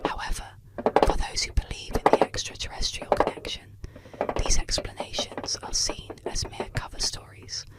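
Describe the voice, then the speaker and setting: A woman narrating a script in a soft, breathy ASMR whisper, slow, hushed and soothing. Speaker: ASMR host.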